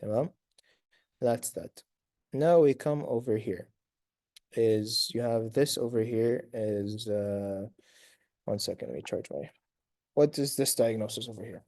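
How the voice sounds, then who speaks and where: Male medical lecturer, raspy and dark-toned, dry close sound, brisk quizzing manner. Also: fairly low pitch, no echo. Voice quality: raspy from long talking. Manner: quizzes students, praises answers briskly; sprinkles Arabic fillers like tamam, inshallah. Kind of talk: lecture to students.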